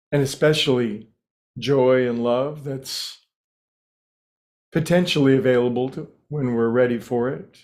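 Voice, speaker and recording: Male meditation guide, medium pitch, unhurried delivery in short phrases with room to pause. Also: a little mellow.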